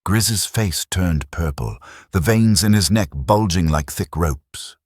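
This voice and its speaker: A man narrating a science fiction audiobook in a grave, dramatic storytelling manner, with a husky voice. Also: sits low, expressive, slow, a little mellow, dry recording, clean studio sound.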